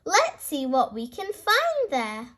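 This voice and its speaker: A woman reads the part of a kindly female gazelle teacher in a children's storybook. She is high-voiced and unhurried, explaining things gently and patiently, with lots of encouraging lilt. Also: wildly swooping pitch, heavily acted.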